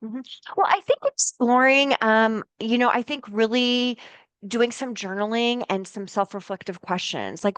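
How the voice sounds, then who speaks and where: A woman's voice: medium pitch, dry recording. A woman therapist from the United States talking casually in an interview, rambling with many fillers and restarts. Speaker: licensed therapist guest.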